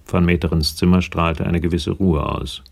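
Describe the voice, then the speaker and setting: An actor reading sober, measured narration for a German radio crime drama. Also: male voice, low-pitched, fairly even intonation, vocal fry, husky, heavily acted. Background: music.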